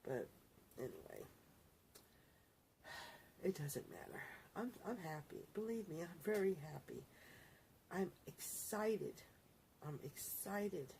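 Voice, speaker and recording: A woman's voice: on the low side, animated, slow, vocal fry, long pauses, darkish tone, a little hiss or hum. A middle-aged woman vlogger chatting casually to her viewers in a rambling, self-correcting way. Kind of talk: casual talk to camera.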